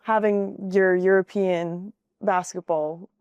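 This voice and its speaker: A woman's voice: lowish voice, fairly even intonation, slow pace, darkish tone, clean tone, dry recording, dull audio. A young woman hosting a podcast interview, asking upbeat, encouraging questions. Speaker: podcast host.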